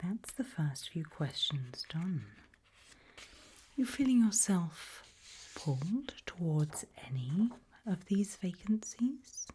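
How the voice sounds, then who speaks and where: British lady whispering asmr roleplay, super breathy, low and slow, leaves long gaps between questions. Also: big pitch swings, dark tone, slight background noise. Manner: slow, deliberate, lingering questions with long pauses.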